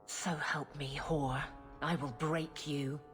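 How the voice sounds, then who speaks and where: An actress playing a stern, awkward, lovesick guard captain in a video game, blunt and earnest, with a husky voice. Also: female voice, low-pitched, steady tone, slow pace, soft background music. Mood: indignant. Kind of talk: video game character acting.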